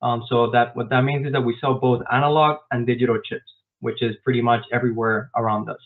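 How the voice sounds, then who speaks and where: A man raised in Miami answering interview questions about his work as a software developer, explaining step by step with frequent "um" fillers, over a video call. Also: mid-range voice, flat delivery, fast talker. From grew up in Miami, Florida. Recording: tinny phone-like online call.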